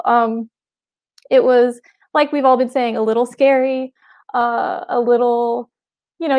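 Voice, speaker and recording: A woman speaks from a room at home over a video call. Her voice sits fairly high, and she talks in a loose, chatty way, full of "like" and "um". Her laughter keeps breaking in. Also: a little mellow. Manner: chatty, frequent "like" and "um" fillers, laughs often. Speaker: author panelist. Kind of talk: casual panel talk. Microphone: video call webcam mic.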